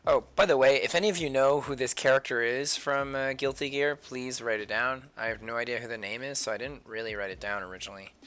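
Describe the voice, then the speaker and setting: A man live-streaming his sketching, mumbling his thoughts aloud as he draws, a little hoarse from a cold. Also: medium pitch, quick pace, hardly any pauses, fairly bright tone. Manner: mumbled thinking aloud, trailing off. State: slightly hoarse, losing his voice. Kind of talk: casual talk while drawing.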